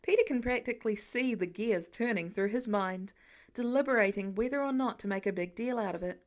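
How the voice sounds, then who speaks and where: A woman's voice: fairly high pitch, fairly quick. A woman reading scripted fan fiction narration as an audiobook narrator.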